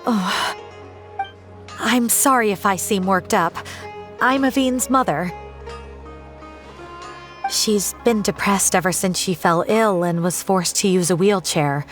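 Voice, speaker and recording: A woman's voice: mid-range voice, slow, pauses often, natural voice. A woman playing an anxious, loving mother in a video game, speaking in a worried, earnest way. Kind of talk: video game character acting. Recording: music under the voice.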